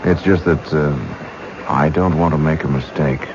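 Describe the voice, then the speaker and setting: A soft-spoken serial killer who talks slowly and politely with a very deep, low voice. The menace stays under the surface. It sounds like a muffled old radio broadcast with steady hiss. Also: male voice. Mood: quietly menacing. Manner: slow, hesitant, quietly polite. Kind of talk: 1970s radio drama acting.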